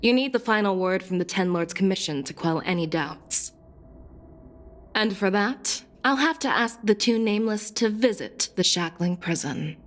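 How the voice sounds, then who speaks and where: A woman's voice: mid-range voice, animated, moderate pace, natural voice. A woman voicing a confident, candid female general in a video game, assured, formal and articulate. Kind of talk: scripted video game dialogue. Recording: game music behind the voice.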